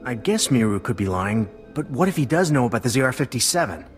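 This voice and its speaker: An eager male lieutenant, played by an actor gives an informal game briefing with lively intonation. He is chatty and earnest, with music playing behind him. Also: mid-range voice, fast, lightly acted. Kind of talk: video game cutscene acting.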